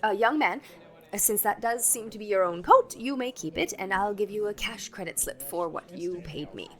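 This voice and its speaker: A woman's voice: medium pitch, normal speed, lightly acted. A woman playing a long-suffering, fussily polite department store floorwalker in a 1940s-style radio comedy. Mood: flustered, placating. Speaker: actor in an amateur radio play recreation.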